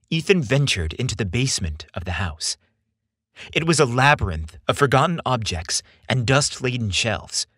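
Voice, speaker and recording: A man hosting a story podcast, reading scripted narration with theatrical, deliberate phrasing. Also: medium pitch, fairly bright tone, slightly rough, no room sound, studio quality.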